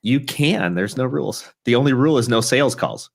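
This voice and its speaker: A man's voice: medium pitch, animated, brisk, vocal fry. A man hosting a casual live-streamed talk show, chatty and rambling with frequent restarts. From Chicago area.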